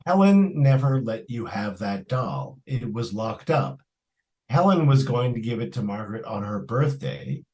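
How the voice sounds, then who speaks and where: A man's voice: medium pitch, lively intonation, measured pace, dark tone, lightly acted. A man playing a stern, exasperated father in an old-time radio suspense drama. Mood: grim, accusing.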